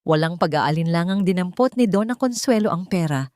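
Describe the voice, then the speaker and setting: A woman's voice: fairly low pitch, animated, fairly quick, darkish tone, no room sound, clean studio sound. A woman reading a scripted dramatic story as its narrator.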